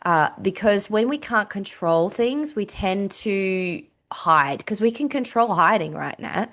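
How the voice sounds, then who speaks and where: A woman co-hosting a podcast in casual chat, husky from a cold, chatty with frequent laughs and fillers. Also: medium pitch, lively intonation, unhurried, a bit bright. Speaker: podcast co-host.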